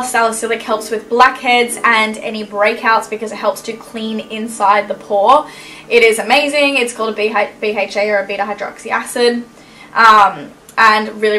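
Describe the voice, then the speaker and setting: A woman's voice: on the high side, a bit bright, far from the mic. A young woman in her twenties chatting enthusiastically about skincare products in her bedroom, with lots of fillers. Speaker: beauty vlogger. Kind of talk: casual product review. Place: bedroom, indoors.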